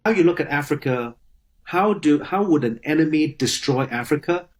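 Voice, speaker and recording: A man's voice: a little high, lively intonation, moderate pace. A man speaking as a professor, explaining a point with rhetorical questions. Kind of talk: lecture clip.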